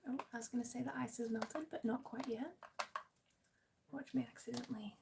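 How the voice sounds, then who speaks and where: An Australian woman talks to her live ASMR viewers in a gentle, hushed voice that often drops to a near whisper. Her voice sits a little high and crackles with vocal fry. There is faint noise behind her. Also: steady tone, fairly quick. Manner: gentle, apologetic, chatty. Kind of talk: soft-spoken ASMR live chat with viewers.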